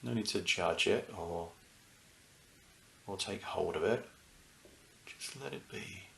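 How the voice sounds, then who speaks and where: A man leading a guided meditation as a teacher, speaking soothingly in short phrases with unhurried pauses. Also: deep voice, creaky, bright, forceful tone, echoey room, slight background noise. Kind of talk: guided meditation, unscripted and gentle.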